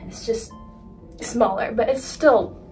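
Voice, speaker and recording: A woman's voice: fairly high pitch, slow, dark tone, faint music behind. A young woman with a stuffy, congested voice chatting in a bubbly, giggly way, filmed on a phone in a practice room. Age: young adult. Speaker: harp student vlogger. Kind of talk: casual vlog walkthrough. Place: university harp practice room, indoors. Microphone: handheld phone camera, moving around. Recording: distant phone mic with harp playing.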